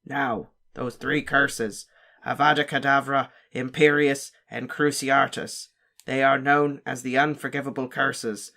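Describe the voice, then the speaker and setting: A man voicing a gruff, fierce old male wizard teacher in an audiobook, barking stern warnings. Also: mid-range voice, level tone, unhurried, pushed and bright. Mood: grim, emphatic. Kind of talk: audiobook character voice.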